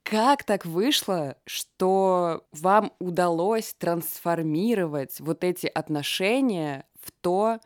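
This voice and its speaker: A woman's voice: on the low side, slow pace, hardly any pauses, dry recording, studio quality. A woman hosting a casual podcast interview, asking questions in a playful, jokey way. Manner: playful, jokey, laughs easily. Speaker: podcast host.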